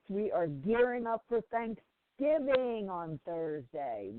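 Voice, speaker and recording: A woman's voice: lowish voice, dry recording. A woman hosting a call-in radio show while sick, talking loosely with many ums and restarts. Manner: rambling, with frequent ums and restarts. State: sick, slightly congested. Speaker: talk radio host. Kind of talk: casual call-in radio talk. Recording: tinny dry phone line.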